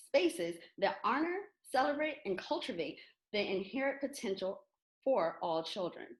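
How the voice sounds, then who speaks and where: A woman with a very animated, swooping delivery, speaking as the host of a reading event. Her pitch sits in the middle of the usual range but moves around a lot as she presents and interviews. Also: rough recording. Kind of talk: scripted introduction and interview.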